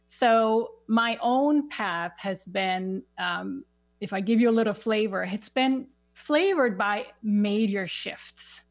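A woman from Sweden with a Swedish accent, a guest on a radio show as a spiritual life coach, speaking haltingly and searching for words. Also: mid-range voice, soft background music. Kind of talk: radio interview.

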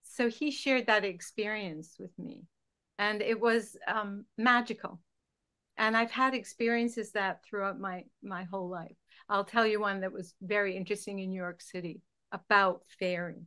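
A woman with a Scottish accent from near Glasgow, a guest on a radio show, recounting her life in a gentle, reflective way. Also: mid-range voice, fairly even intonation, moderate pace. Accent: Scottish, Glasgow area. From Scotland. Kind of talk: interview storytelling.

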